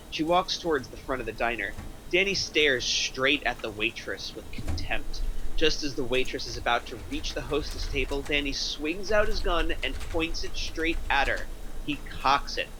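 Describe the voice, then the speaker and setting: A man's voice: fairly high pitch, background noise. A man narrating stage directions from a script at a table reading.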